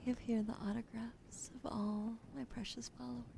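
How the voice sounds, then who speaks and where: A woman whispering softly and sleepily on an ASMR livestream. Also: fairly high pitch, level tone, creaky, a little hiss or hum. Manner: soft, slow, sleepy whispering. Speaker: ASMR streamer.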